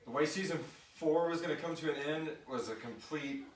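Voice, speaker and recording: A man's voice: a little high, a little fast. A man hosting a casual live question-and-answer session, rambling informally and thinking aloud as he talks. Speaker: host and show creator reading listener questions. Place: live group recording in an echoey room. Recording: echoey room, distant mic, clear background noise.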